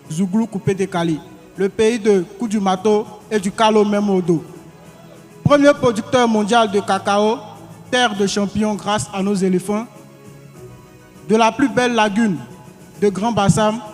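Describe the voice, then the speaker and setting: A young man from Côte d'Ivoire, declaiming a formal welcome as a student. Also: sits high. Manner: emphatic, declamatory. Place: hotel ceremony hall. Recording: echoey hall with music behind.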